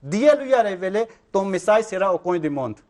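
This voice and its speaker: A man, speaking in a fairly high voice. He argues insistently as an interview guest, circling back to check that he is understood. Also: lively intonation, fairly quick, darkish tone. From Pakistan. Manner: insistent, repeats "vous comprenez?". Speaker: Ahmadi missionary guest. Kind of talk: religious interview argument.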